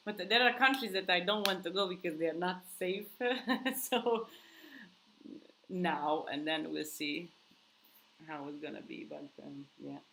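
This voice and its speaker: A woman's voice: fairly low pitch, measured pace, vocal fry, pauses often, fairly bright tone, slight background noise, rough recording. Chatty woman, non-native English. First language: not English. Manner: chatty, rambling, frequent restarts and fillers. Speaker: vlogger hosting a live Q&A. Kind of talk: casual live-stream chat with viewers. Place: countryside home.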